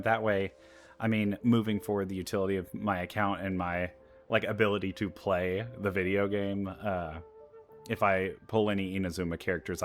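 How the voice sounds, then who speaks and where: A man giving casual gameplay commentary, chatty and rambling as he thinks aloud. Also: low voice. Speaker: let's play streamer.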